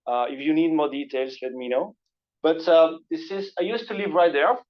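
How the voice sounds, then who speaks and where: A man's voice: fairly high pitch, normal speed. A man from Belgium whose first language is French, giving an academic talk in a seminar room with a casual, hesitant delivery. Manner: relaxed conversational lecturing, frequent hesitations. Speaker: guest speaker. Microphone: passed microphone. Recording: dull lo-fi lecture recording.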